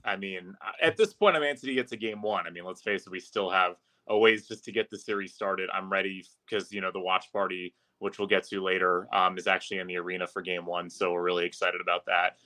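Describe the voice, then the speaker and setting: A man's voice: low voice, fairly even intonation, fast talker, few pauses, bright, forceful tone. A man who works as an arena announcer, chatting casually as a guest on a sports podcast. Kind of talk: casual sports interview chat.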